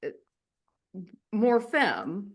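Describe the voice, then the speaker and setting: A woman co-hosting a podcast, chatting casually in a relaxed, reflective and agreeable way. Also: medium pitch, drawn-out words. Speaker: podcast co-host. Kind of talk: casual chat.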